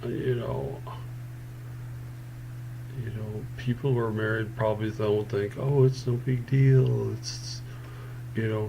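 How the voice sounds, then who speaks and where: A man in his fifties with a low, gravelly voice speaks slowly into a webcam. He halts often, restarts his sentences and sounds fed up. A steady hum and noise sit under the recording. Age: 50s. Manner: slow, halting, with many fillers and restarts; frustrated, complaining. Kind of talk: personal webcam monologue.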